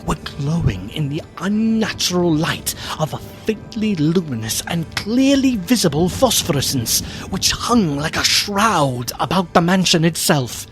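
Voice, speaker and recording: An actor playing an anxious, theatrical gentleman who narrates a gothic radio play with solemn deliberation. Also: fairly high pitch, big pitch swings, soft background music. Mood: awed. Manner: solemn, theatrical, deliberate, hushed then fervent. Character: gentleman narrator, anxious friend of a doomed nobleman.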